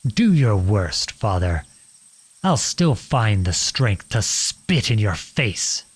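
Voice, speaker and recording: A man performs a young outlawed prince in an audio roleplay drama. The voice sits slightly low and is rough and breath-worn at the edges. He speaks slowly and deliberately, with earnest, wounded defiance. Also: sing-song, heavily acted. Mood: bitter, defiant. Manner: earnest, deliberate, pleading and accusing. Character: defiant outlawed young prince confronting his cruel king father.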